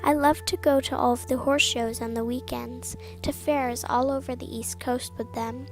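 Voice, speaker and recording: A woman's voice: a little high, steady tone, moderate pace. A woman narrating a written tribute in a sincere, plain reading style. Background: faint background music.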